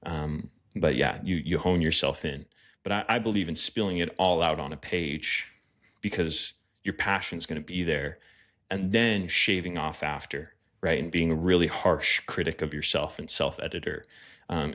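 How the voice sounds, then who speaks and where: A man's voice: rumbling low, moderate pace, pushed and bright. A man, a pastor and writer, talking as a podcast guest in a loose, rambling way, often checking in with "right?". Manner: rambling, tags thoughts with "right?". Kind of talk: casual podcast conversation.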